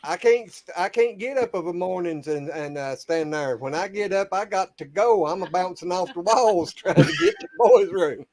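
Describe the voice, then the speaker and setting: A man's voice: high-pitched, big pitch swings, normal speed, few pauses, a little mellow, a little hiss or hum. A man, teasing and laughing in a casual group chat. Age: 60s. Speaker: chat participant.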